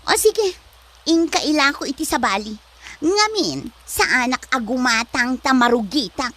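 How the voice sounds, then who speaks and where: A woman's voice: high-pitched, lively intonation, measured pace, a bit bright, exaggerated voice. A woman playing a haughty, ambitious young woman in an Ilocano comedy drama, sassy and disdainful, with an Ilocano accent. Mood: disgusted.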